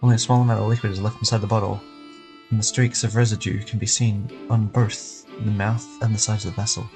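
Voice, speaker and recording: A man's voice: lowish voice, little pitch movement, fast talker. A man streaming a video game, reading the dialogue aloud and commenting casually. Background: game music.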